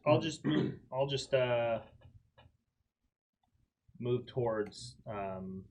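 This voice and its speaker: A man's voice: mid-range voice, extremely slow, darkish tone. A man chatting casually as he takes his turn in a tabletop roleplaying game.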